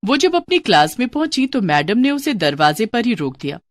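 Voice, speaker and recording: A woman's voice: a little high, sing-song, moderate pace, no room sound. A woman reading scripted narration for a children's story.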